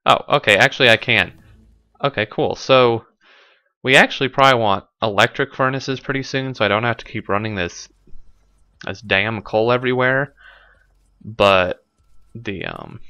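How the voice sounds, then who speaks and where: A man's voice: lowish voice, unhurried, pauses often, sharp tone, no room sound, muffled recording. A man with a stuffy nose commenting casually on his gameplay, thinking aloud with lots of fillers, recorded on a desk microphone at home. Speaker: let's play host.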